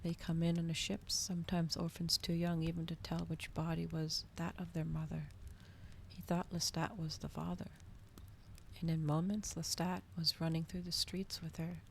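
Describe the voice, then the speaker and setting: A woman reading a novel aloud in a hushed ASMR style, softly and breathily, often slipping toward a whisper. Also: deep voice, level tone, normal speed, vocal fry, slight background noise.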